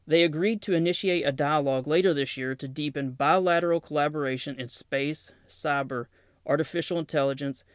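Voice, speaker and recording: A man's voice: a little high, brisk, clean tone, no room sound, clean studio sound. A man with a Southern accent hosting a podcast, talking casually through defense news and reading press releases aloud with plain asides. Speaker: podcast host.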